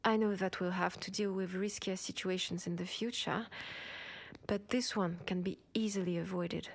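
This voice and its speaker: A woman with a French accent playing an ambitious, protective cyberpunk cop in an intimate sci-fi audio roleplay, with soft, breathy delivery. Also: lowish voice, steady tone, normal speed. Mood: protective, earnest. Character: ambitious, protective female cyberpunk cop, senior partner to a rookie. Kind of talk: intimate sci-fi roleplay acting, one side of a dialogue.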